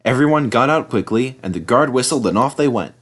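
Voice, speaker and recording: A man's voice: mid-range voice, expressive, a little fast, a bit bright. A man reading a children's story as scripted narration. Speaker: storybook reader.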